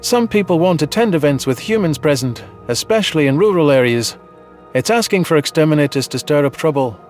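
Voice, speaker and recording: Audio drama role: man performing a guarded male alien rescue survivor advising politicians, high voice, light music underneath. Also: lively intonation, a little fast, a little mellow, close to an everyday voice. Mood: wary, concerned. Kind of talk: audio drama narration dialogue.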